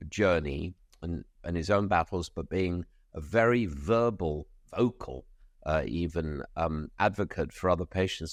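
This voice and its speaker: Deep-voiced male podcast host. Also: no echo, studio quality. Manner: reads a formal guest introduction, then chats with fillers. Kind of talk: medical podcast interview.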